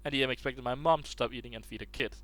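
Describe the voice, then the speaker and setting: A man's voice: medium pitch, steady tone, rapid-fire, fairly bright tone, no room sound. A man reading online posts aloud in a casual way, stumbling and restarting words as he goes.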